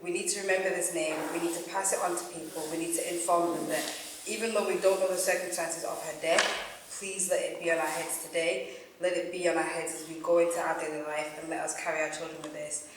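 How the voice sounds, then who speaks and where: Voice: mid-range voice, little pitch movement, fast talker, noisy background. An organiser giving a solemn, earnest speech to a gathered group. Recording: echoey room from far off.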